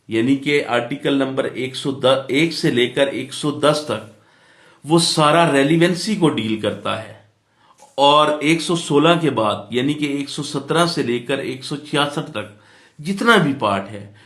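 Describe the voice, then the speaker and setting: A man's voice: medium pitch, fairly bright tone. A man with a Pakistani accent giving an explanatory lecture as a law teacher, in a didactic way, repeating points and answering his own questions. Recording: echoey room.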